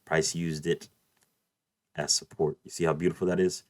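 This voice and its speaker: A man with an extremely low, deep voice walks viewers through price charts, repeating his favorite praise words and phrases as he goes. He sounds a little off from a recent cold and talks into a desk mic at his computer. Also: flat delivery, moderate pace, darkish tone, dry recording. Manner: repeats "beautiful" and "let's play this out", addresses "you guys". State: just over a cold, voice a bit off. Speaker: trading tutor. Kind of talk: screen-share chart walkthrough.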